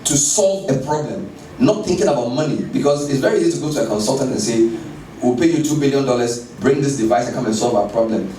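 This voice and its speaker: A man talks in an animated, emphatic way. His voice is warm and mellow. He is heard from a distance in a roomy space with faint background noise. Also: mid-range voice, quick pace. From Ghana. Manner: animated, emphatic, laughs while telling stories. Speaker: guest speaker. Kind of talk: conversational interview.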